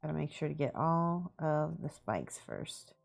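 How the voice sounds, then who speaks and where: A woman's voice: low-pitched, a little slow, creaky, faint music behind. A woman with a Midwestern accent, chatting casually on a live stream while she paints miniatures, talking her steps through aloud. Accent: Midwestern American. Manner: relaxed, thinking aloud, greeting chat viewers.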